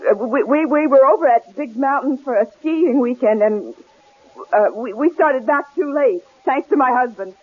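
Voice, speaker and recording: An actress with an American accent playing a headstrong, independent wife in a 1970s radio drama, expressive and quick to stammer when rattled. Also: female voice, sits high. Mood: nervous, defensive. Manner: bold, stammers when frightened. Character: headstrong, independent wife, skier, snowed in with husband. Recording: tinny, lightly noisy old radio broadcast.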